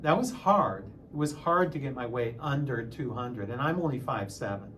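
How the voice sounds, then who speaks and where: A man of sixty talking casually in a personal vlog, halting at times and repeating phrases for emphasis. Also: medium pitch, animated, fairly bright tone, a little hiss or hum. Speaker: music teacher and channel host.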